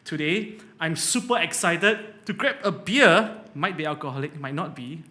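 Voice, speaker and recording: Upbeat male podcast host, higher voice. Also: normal speed, sharp tone. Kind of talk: podcast hosting.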